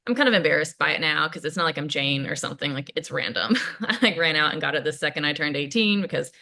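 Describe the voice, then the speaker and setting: Bright, rambling college girl played by an actress. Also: deep voice, expressive, creaky, full character voice. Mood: embarrassed, chatty. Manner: halting, rambling, peppered with "like". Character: chatty, self-deprecating awkward female college student. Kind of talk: table read of a play, naturalistic casual acting.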